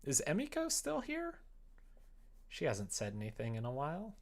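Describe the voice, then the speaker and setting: Casual livestream host, mid-pitched and very animated, informal, conversational delivery. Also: normal speed. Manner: informal, animated, quoting imagined exchanges. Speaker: streamer chatting while making a visual novel.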